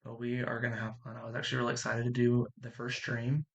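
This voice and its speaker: A streamer with a raspy voice, feeling unwell, chatting casually while playing a game. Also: medium pitch, quick pace, dull audio. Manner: chatty, upbeat, rambling asides with squealy excited spikes. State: unwell, under the weather. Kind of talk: casual gameplay livestream commentary. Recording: loud game music behind.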